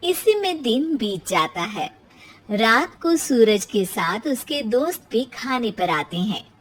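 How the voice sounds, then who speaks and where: A woman narrating a scripted cartoon family story in an animated storytelling style. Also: a little high, takes it slowly.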